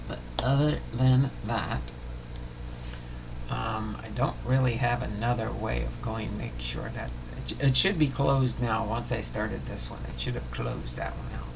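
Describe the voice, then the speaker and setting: A man hosting a casual livestream, chatting and reading aloud in a halting, unpolished way. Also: on the low side. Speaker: livestream host. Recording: clearly noisy room recording.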